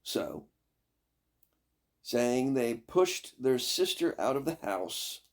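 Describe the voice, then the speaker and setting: An older man reading a fairy tale aloud as a storyteller, with expressive, deliberate, dramatic phrasing. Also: mid-range voice.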